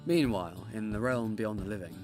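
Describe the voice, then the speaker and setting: A man's voice: fairly low pitch, a little fast, music in the background, natural voice. A man acting as the narrator of a supernatural radio play, reading scripted narration. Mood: solemn.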